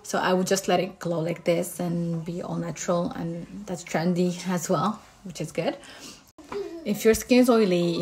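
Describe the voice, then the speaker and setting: A woman with a Moroccan accent, a makeup artist casually explaining each step of her makeup routine. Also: lowish voice.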